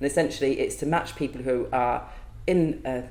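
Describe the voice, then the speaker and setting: A woman's voice: a bass voice, moderate pace, a little mellow. A woman guest on a podcast, talking openly and thoughtfully in a casual interview, with frequent ums and restarts. She is not from Wales. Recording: echoey room.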